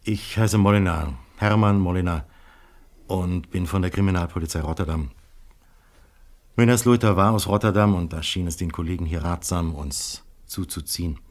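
An actor as a deep-voiced, courteous police detective. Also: male voice, close to an everyday voice. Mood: courteous, probing. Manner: polite, deliberate, hesitating as he questions. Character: courteous, probing Dutch police detective from Rotterdam. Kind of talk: radio crime drama dialogue.

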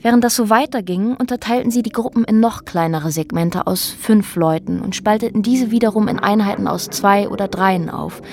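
A woman's voice: mid-range voice, normal speed, few pauses, darkish tone, a little hiss or hum, lightly acted. An actress as a sober woman recounting an ordeal. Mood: grave, restrained. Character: woman quietly recounting a brutal police ordeal, former activist. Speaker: radio drama actor. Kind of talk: German radio drama dialogue and narration.

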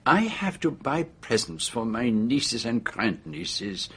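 A man's voice: mid-range voice, big pitch swings, slow pace, slightly rough, heavily acted. An actor playing an older male detective in a radio drama, formal and courteous, with a French-speaking European accent and polite hesitations. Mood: courteous, coaxing. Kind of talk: BBC radio drama acting.

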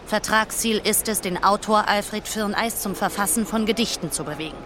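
A woman's voice: medium pitch, normal speed, background noise, natural voice. An actress playing a pragmatic, unsentimental businesswoman in an audio drama, blunt and matter-of-fact. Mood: businesslike. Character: pragmatic, unsentimental, debt-ridden businesswoman scheming. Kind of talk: audio drama dialogue acting.

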